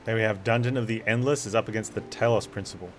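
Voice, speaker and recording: A man's voice: on the low side, steady tone, fast. Casual male game streamer over music. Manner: chatty, playful, addresses viewers. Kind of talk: casual live game commentary.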